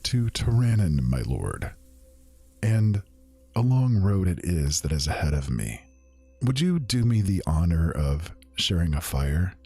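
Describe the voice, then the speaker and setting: A man voicing a sorrowful, lovelorn male traveler who speaks slowly in mournful, old-fashioned phrasing. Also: low voice, sing-song, warm tone, husky, dry recording, faint music behind, pitched below the performer's own voice, exaggerated voice. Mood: courteous. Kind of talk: storytelling.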